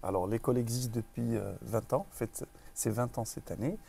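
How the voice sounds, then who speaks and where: Un homme d'âge moyen, originaire du Maroc, directeur d'école en entretien, parle de façon posée avec beaucoup d'hésitations. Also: male voice, mid-range voice, gravelly, warm tone, a little hiss or hum. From Morocco. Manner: measured, many pauses and restarts. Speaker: school director. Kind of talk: interview.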